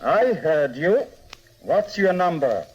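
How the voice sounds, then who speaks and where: A man's voice: fairly high pitch, sing-song, slow pace, a little mellow, slightly rough, lo-fi sound, heavily acted. An actor playing a menacing, secretive, powerful man with a criminal past, speaking in terse, ominous commands in a 1950s radio noir drama. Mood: demanding.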